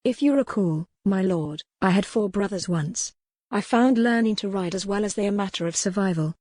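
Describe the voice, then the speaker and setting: A woman voicing a proud, quick-witted young noblewoman in a medieval romance audiobook, formal and spirited. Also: mid-range voice, darkish tone, no room sound, studio quality, close to an everyday voice. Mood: spirited, defiant. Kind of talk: audiobook character dialogue in historical romance.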